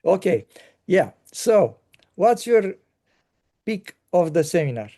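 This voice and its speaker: A man hosting a casual conversation, talking haltingly with many pauses and fillers. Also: high voice, big pitch swings, mellow. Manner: halting, pausing often with fillers.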